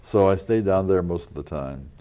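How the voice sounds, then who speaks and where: An older man, a local historical reenactor, telling rambling stories in a casual interview, with a loud voice and many fillers and restarts. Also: deep voice, normal speed, smooth. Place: community TV studio.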